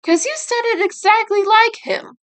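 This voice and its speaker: A blunt teenage turtle brother from a story, voiced by a woman, pitched high. He is guilt-ridden and awkward with feelings, and he speaks in unhurried lines. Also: fairly bright tone. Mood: amused.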